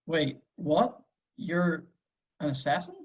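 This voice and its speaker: A man's voice: fairly high pitch, lively intonation, slow, slightly rough, full character voice. A man playing a weary, scowling hitman in his forties at an amateur table read, terse, wary and testy. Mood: baffled. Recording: dull lo-fi voice recording.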